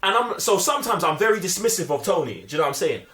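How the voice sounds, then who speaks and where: A man's voice: high voice, very animated, rapid-fire, sharp tone. A man with a London accent giving emphatic, slangy opinions in a casual film discussion. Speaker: film review podcast co-host. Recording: echoey room.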